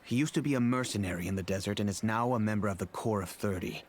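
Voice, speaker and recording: An actor playing an earnest, remorseful, wealthy middle-aged father in a video game, speaking in a measured, formal way. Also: on the low side, a little hiss or hum, lightly acted. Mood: helpful. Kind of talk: video game character acting.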